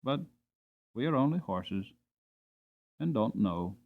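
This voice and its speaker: A man voicing a wise, gentle old mother horse in a dramatic storybook reading, measured and moralizing. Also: lowish voice, expressive, vocal fry, phone-quality sound, exaggerated voice. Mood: gently reproving.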